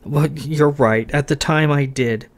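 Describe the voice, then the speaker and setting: A man's voice: a little high, measured pace. A man voicing an earnest, stumbling young suitor. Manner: urgent, pleading, stumbling apologies.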